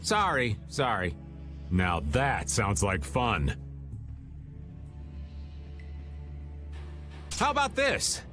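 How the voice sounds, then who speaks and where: A man's voice: medium pitch, wildly swooping pitch, slow, fairly bright tone, full character voice. An actor playing a playful, sly older man, a joking veteran adventurer in a video game. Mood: playful, teasing. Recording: music under the voice.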